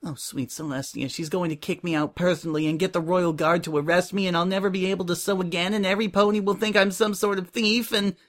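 A man's voice: fairly high pitch, lively intonation, unhurried, voice pushed up. A man voicing a meek, anxious young female pony assistant who stammers and pleads shakily in a story reading. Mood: panicked. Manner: stammering, shaky, breathless pleading.

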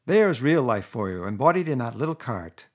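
A man voicing a boastful, excitable male toad in a storybook reading, loud and gushing. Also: medium pitch, normal speed.

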